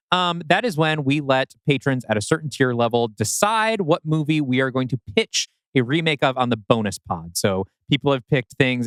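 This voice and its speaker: A man's voice: on the high side, a bit bright, dry recording. A man co-hosting a podcast, casually explaining things to listeners. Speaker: podcast co-host.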